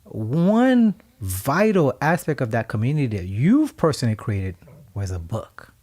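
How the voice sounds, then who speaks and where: A Haitian man co-hosting a radio talk show, speaking reflectively and with emphasis, sometimes becoming animated and laughing as he tells stories. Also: medium pitch, measured pace. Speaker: co-host.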